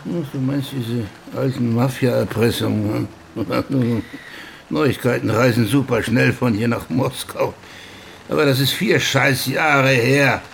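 An actor playing a gruff, foul-mouthed, ageing small-town police chief in a radio play, blunt, threatening and world-weary. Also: male voice, medium pitch, steady tone, slow pace, a little hiss or hum. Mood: world-weary warning. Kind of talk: German radio play acting.

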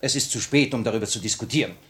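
An actor playing the grave, resolute leader of a revolutionary cell in a 1950 radio play, speaking with measured authority. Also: male voice, mid-range voice, fairly bright tone, natural voice. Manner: measured, authoritative theatrical delivery.

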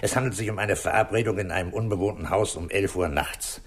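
Calm police inspector, lowish voice, measured n commanding, old german radio crime play. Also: male voice, breathy edge. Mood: measured summing-up. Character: calm, authoritative police inspector leading an interrogation. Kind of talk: 1960s German radio crime drama acting.

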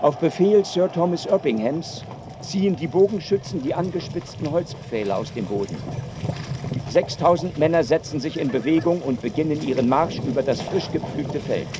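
A man reads dramatic battle narration in the present tense. His voice sits a little high for a man, with clearly noisy ambience behind him. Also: lively intonation, moderate pace.

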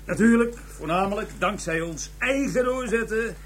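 An actor in a radio drama playing a good-natured male police chief, making a slightly halting speech to his team. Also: high voice, a little hiss or hum, close to an everyday voice. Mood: good-natured, formal. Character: police squad chief addressing his team, modest, collegial.

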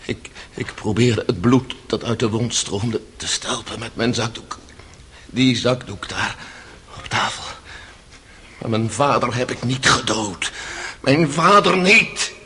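A man's voice: mid-range voice, lively intonation, takes it slowly, slight background noise. An actor playing an anguished, passionate accused son in a radio play, telling his story with dramatic intensity. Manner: intense, dramatic storytelling, swinging from hushed confession to outburst.

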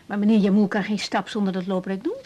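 A woman's voice: mid-range voice, flat delivery, quick pace, mellow, highs cut off, natural voice. An actress playing a caring, informal young female doctor in a naturalistic radio drama. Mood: concerned, polite. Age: young adult.

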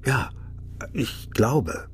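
An actor playing a troubled, subdued husband in a radio crime drama, speaking in a hesitant, reserved, brooding way. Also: male voice, mid-range voice, big pitch swings, extremely slow, a bit bright, soft background music, full character voice. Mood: worried, hesitant. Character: troubled, subdued husband searching for his missing wife. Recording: clear background noise.

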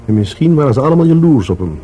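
An actor in a Dutch radio drama playing a blunt, inquisitive police investigator. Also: male voice, mid-range voice, big pitch swings, very fast, dark tone, faint music behind, natural voice.

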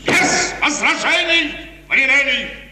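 An actor playing an imperious, suave prince, commanding and condescendingly reassuring, in the theatrical style of 1950s radio. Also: male voice, very high voice, lively intonation, slow, bright, forceful tone, full character voice. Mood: soothing, commanding. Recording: echoing hall, old noisy radio recording.

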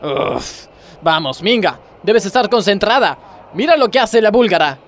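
A man's voice: high-pitched, expressive, measured pace, slight background noise. A man acting a pompous, moralizing gymnastics coach who makes grandiose speeches in a parody radio play. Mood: demanding. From Argentina. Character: pompous, moralizing male gymnastics coach, scolding yet easily distracted.

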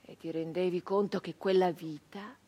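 An actress playing an embittered, wounded brigand woman of the nineteenth century in a theatrical monologue, speaking in halting, emphatic short phrases with a husky voice. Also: lowish voice, steady tone, mellow. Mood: haunted, hushed. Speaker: stage actress.

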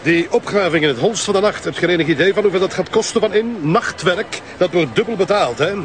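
An actor playing an impatient, self-satisfied police chief in a radio drama, brusque and officious, with a Flemish accent. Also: male voice, high voice, lively intonation, fast talker. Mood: scolding. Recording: noisy outdoor scene with traffic.

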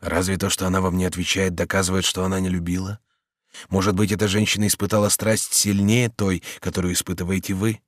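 A man's voice: low voice, little pitch movement, no room sound, studio quality. A man voicing a grave old servant. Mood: gentle. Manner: measured, soothing, solemn. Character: older male servant, calm, grave and compassionate.

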